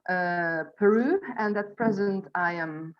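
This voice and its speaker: A woman in her forties speaks with a Hungarian accent in a lowish voice. She presents to an online group as a spiritual coach, choosing her words in halting, deliberate phrases. The sound is dull and lo-fi, as on a video call. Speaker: theta healing instructor and coach presenting an online event. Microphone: video call microphone.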